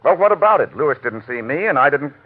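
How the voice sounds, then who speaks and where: A man's voice: on the high side, expressive, quick pace. A man playing a proud, evasive suspect in a classic radio mystery, huffy and indignant. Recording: tinny, narrow-band old radio recording.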